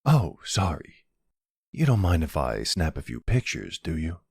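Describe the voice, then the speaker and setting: A man's voice: low voice, very animated, measured pace, vocal fry, a little mellow, slightly rough, dry recording, heavily acted. A man playing a flirty, sardonic male vampire in an ASMR roleplay drama, teasing his rival with smug, dry sarcasm. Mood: mocking, sultry.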